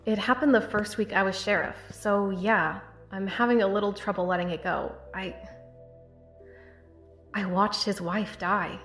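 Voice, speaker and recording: A woman's voice: mid-range voice, fairly bright tone. An actress plays a small-town sheriff in an audio drama, a protective woman with old grief. She speaks earnestly and steadily in a middle register. The sound is very reverberant, like a tunnel, with faint music underneath. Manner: measured, earnest, halting when emotional.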